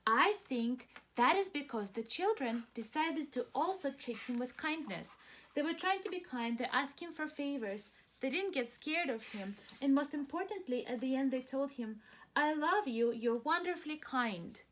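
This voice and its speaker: A woman's voice: high-pitched, lively intonation, normal speed, slight background noise. A woman teacher doing a children's read-aloud, asking simple, encouraging questions of young listeners. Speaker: preschool teacher reading aloud.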